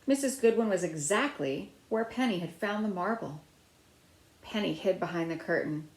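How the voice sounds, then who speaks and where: A woman's voice: on the low side, lively intonation, moderate pace, a little mellow. Woman reading a storybook in a roomy space. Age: middle-aged. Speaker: storybook reader. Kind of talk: children's picture book read-aloud. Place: small room at home by a window, indoors. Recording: roomy, echoey indoor sound.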